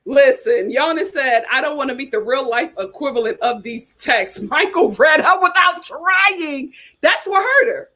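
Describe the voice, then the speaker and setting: A woman with a fairly high voice talks in an animated, sassy way and drops casual slang. She comments loosely and answers comments from a live chat as she goes. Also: unhurried. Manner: uses casual slang like "child" and "y'all". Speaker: host.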